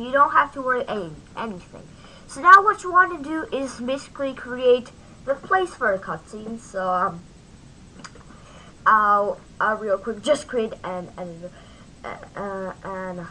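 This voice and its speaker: High, bright-voiced woman hosting a tutorial. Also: a little hiss or hum, poor audio. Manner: informal, halting, self-interrupting, talks to self. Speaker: tutorial host. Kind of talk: casual screen-recorded software tutorial. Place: at home, at a computer.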